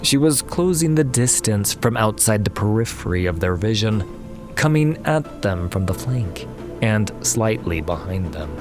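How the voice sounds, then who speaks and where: Low voiced guy reading an epic fantasy story, slow and solemn, music playing behind. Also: sing-song. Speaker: audiobook narrator. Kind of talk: dramatic scripted fantasy narration.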